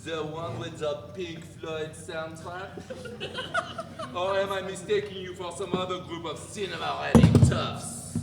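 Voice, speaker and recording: A man's voice: fairly high pitch, fairly even intonation, unhurried, gravelly. A man in a live dramatic reading playing a pompous, sarcastic French intellectual with a mocking, declamatory manner. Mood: scornful, mocking. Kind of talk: dramatic reading of a novel before an audience. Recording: echoey hall, noisy live event recording.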